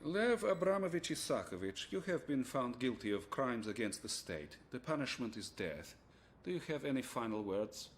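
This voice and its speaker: Formal, pitiless Soviet official. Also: male voice, medium pitch, sing-song, normal speed, a little hiss or hum. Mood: stern, official. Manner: formal, declamatory. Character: formal, pitiless male Soviet official pronouncing a death sentence. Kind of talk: acted video game cutscene dialogue.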